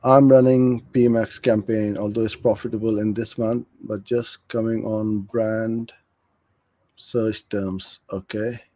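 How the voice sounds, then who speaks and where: A man's voice: lowish voice, level tone, slow pace, dark tone, no echo. A middle-aged man from Karachi, Pakistan, coaching in an informal live question-and-answer session, frank and practical as he explains. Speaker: digital marketing agency owner hosting a live Q&A.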